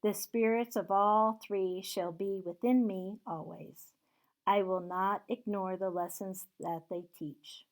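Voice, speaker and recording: A loud, exclaiming old miser, raised well above the reader's normal pitch, as a woman puts him on for a storybook reading. He pleads and shouts his vows in a middle-pitched, animated voice. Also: slow pace. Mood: pleading. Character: old man Scrooge, overjoyed and reformed.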